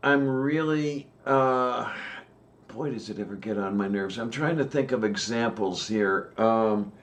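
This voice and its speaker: A man co-hosting a casual chat, talking with many "um"s and pauses. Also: fairly low pitch. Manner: slow, hesitant, many "um"s and pauses. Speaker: podcast co-host.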